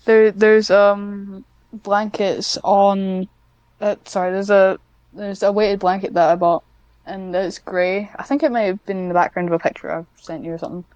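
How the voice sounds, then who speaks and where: Woman w a clean smooth voice, lowish for a woman, giggly n self-deprecating, casual interview chat, very dry close sound. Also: steady tone, unhurried, a little mellow, dry recording, muffled recording. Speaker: podcast guest, scriptwriter.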